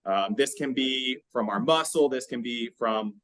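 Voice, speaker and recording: A man hosting a podcast, explaining exercise science in a conversational, halting way with frequent check-in questions. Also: mid-range voice, fairly bright tone, no echo.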